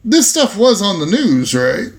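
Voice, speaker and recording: A man's voice: high-pitched, big pitch swings, measured pace. A man chatting and improvising casually as a player in a tabletop horror roleplaying game.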